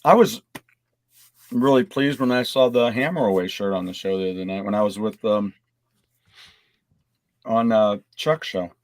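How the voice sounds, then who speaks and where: A man's voice: lowish voice, normal speed, pauses often, no echo. A man co-hosting a casual gaming panel chat, talking in a rambling, conversational way. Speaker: co-host of a gaming live stream.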